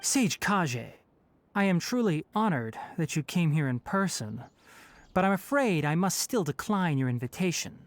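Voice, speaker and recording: A woman's voice: lowish voice, very animated, normal speed, no echo. A woman playing a polite but firm, guarded young male forest ranger in a video game. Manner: courteous, formal, firm.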